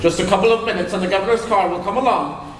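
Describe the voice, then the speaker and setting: A man's voice: high voice, fast, noisy background, lightly acted. Man playing a stammering, fixated young killer. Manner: stammering, fixated, flat-toned. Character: simple-minded young man, obsessive, dangerous. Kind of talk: live old-time radio drama reading. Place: large convention hall, live stage. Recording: echoey hall, heard from far off.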